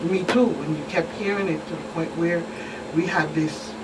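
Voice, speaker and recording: A woman's voice: fairly low pitch, fairly even intonation, moderate pace. Virgin islands lady cop being interviewed, emphatic, repeats herself, kinda far from mic w noise behind her. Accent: Virgin Islands Caribbean. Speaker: police commander, guest expert. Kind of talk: talk show interview, recounting cases. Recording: distant mic, noisy room hum.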